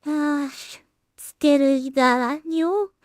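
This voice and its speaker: A woman talking casually to herself and her viewers as a solo creator at work, musing in an unhurried way and letting remarks trail off. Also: high-pitched, dry recording. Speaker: solo animation creator and streamer. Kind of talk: casual work-along commentary, thinking aloud.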